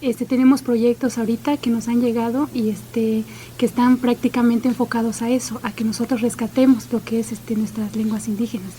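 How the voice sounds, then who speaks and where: A woman whose first language is Chinantec, speaking as a guest in a radio conversation about language work, steady with frequent fillers. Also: on the high side, fairly quick, slight background noise, poor audio.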